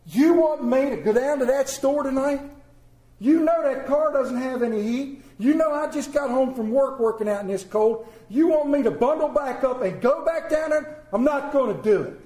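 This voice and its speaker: A grumbling family father in a spoken story, complaining loudly about being sent out into the cold, as a man puts him on. His voice sits high and strained, with a mellow, soft edge. It rings in a roomy space. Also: normal speed. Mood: exasperated. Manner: complaining, exasperated. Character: grumbling middle-aged father, cold and reluctant.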